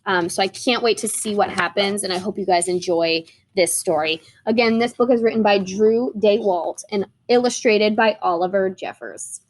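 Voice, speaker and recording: A woman's voice: medium pitch, normal speed, few pauses, low-quality recording. A woman narrating a picture book aloud for children, as a storybook reader.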